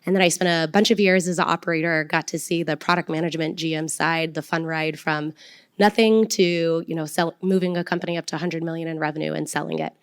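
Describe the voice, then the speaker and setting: A woman, a venture capital partner, introducing herself on a panel in a quick, confident way. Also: deep voice, steady tone, clean tone, no room sound, clean studio sound.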